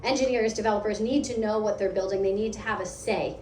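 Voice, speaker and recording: An American woman leading a product team gives a lively, conversational meetup talk, asking the audience questions. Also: medium pitch, fast. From United States. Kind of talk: live conference talk. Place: event hall. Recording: echoey hall, distant mic, faint hum.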